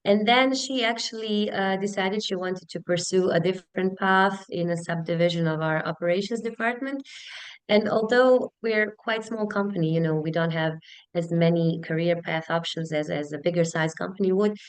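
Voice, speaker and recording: Female HR professional, 30s, Bosnian accent. Smooth, slightly low voice, conversational and earnest in a webinar interview. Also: moderate pace, rough recording. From Bosnia and Herzegovina. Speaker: HR business partner, webinar guest.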